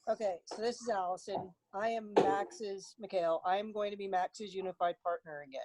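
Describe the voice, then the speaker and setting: A woman's voice: mid-range voice, steady tone, normal speed, background noise. A woman speaking candidly and informally as a participant asking questions on a conference call. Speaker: volunteer tennis partner asking questions on a call. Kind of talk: casual conference-call discussion.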